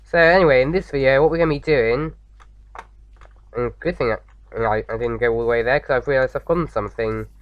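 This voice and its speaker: A man with a slight sore throat, slightly croaky, chatting casually as he hosts a game playthrough, rambling with lots of fillers. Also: mid-range voice, brisk, no room sound, lo-fi sound. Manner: rambling, many fillers and restarts. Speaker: gaming video host. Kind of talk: casual let's-play commentary.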